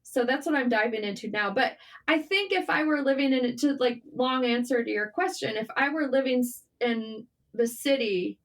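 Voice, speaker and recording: A woman speaking as a writer guest in a casual, candid interview, informal and animated in manner. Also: on the high side, normal speed, few pauses. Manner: animated, informal, swearing, self-deprecating.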